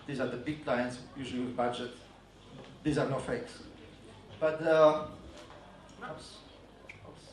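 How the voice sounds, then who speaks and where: A man with a Polish accent giving a conference talk on advertising, halting and full of fillers and restarts. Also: medium pitch, steady tone, a little hiss or hum. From Poland. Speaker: advertising agency speaker. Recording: echoey room from a distance.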